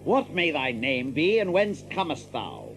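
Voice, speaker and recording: An actor playing a haughty, bullying lord in an audio drama, speaking pompous, archaic English. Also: male voice, a little high, sing-song, a little slow, a bit bright, slight background noise, exaggerated voice. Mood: lordly. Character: haughty, bullying lord presiding over archery contest. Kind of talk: theatrical audio drama acting.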